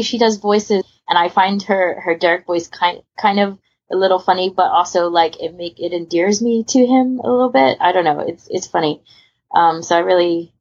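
A woman's voice: low-pitched, a little mellow, slightly rough. A woman co-hosting a podcast, chatting casually in a rambling, conversational way full of asides. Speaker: podcast co-host. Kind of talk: casual podcast chat, recommending audio stories. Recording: muffled, lo-fi voice recording.

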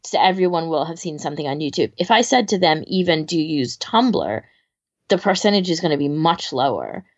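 A woman's voice: low-pitched, lively intonation, brisk, dull audio. A woman co-hosting a casual podcast discussion, thinking aloud in a tentative, hedging way. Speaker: podcast co-host.

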